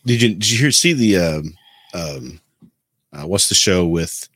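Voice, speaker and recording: A man with a deep, low voice speaks as a podcast co-host. He explains things casually and a bit haltingly, feeling his way through the thought. The sound is dry, with no room echo. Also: animated, fairly bright tone.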